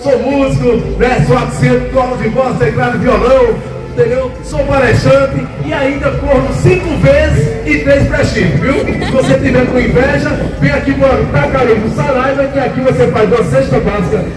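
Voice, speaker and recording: A middle-aged man working as a street announcer for a store, shouting a hyped, joking sales pitch into a handheld mic outdoors. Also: steady tone, normal speed, far from the mic. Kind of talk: loud street advertising patter. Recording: echoey, off-mic, loud music and crowd.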